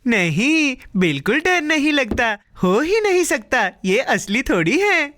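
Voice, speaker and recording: Excitable high-pitched little cartoon boy. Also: measured pace, a little hiss or hum, exaggerated voice. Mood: playful, curious. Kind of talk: children's cartoon dubbing.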